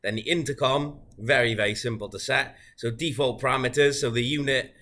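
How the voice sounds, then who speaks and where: A man giving an informal, methodical, unscripted software walkthrough as a product demonstrator. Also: mid-range voice, fairly even intonation, fairly quick.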